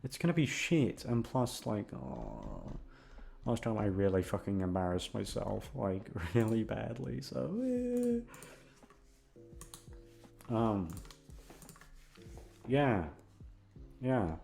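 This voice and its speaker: A man's voice: fairly low pitch, very animated, slow, gravelly, long pauses, darkish tone. A young man rambling in a casual chat, with a goofy, self-deprecating and sweary way of talking. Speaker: audio content creator. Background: background music.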